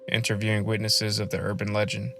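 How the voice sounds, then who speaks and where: A man hosting a scripted film review video essay in measured narration. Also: lowish voice, completely flat delivery, gravelly, bright, forceful tone, faint music behind.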